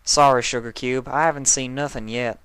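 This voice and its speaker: A young farm mare from a cartoon fan story done by a man. She is folksy, blunt and impatient, with a raised pitch and bright, emphatic delivery. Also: steady tone, moderate pace, clean tone, no room sound, close to an everyday voice. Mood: apologetic.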